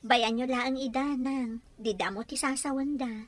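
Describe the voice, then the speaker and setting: A woman's voice: high-pitched, steady tone, normal speed, dry recording, close to an everyday voice. An actress playing a patient young married daughter who soothes her mother in an Ilocano radio drama. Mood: placating.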